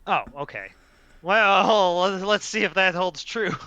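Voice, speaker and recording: A man's voice: high voice, unhurried, bright, forceful tone, dull audio, slight background noise. A man taking part in a game stream, chatting casually. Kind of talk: casual chat.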